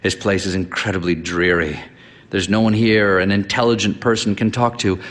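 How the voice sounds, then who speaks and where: A man's voice: low voice, steady tone, moderate pace, a bit bright. A man reading a story aloud, voicing a sickly, pretentious young man who speaks in an affected, sullen mutter.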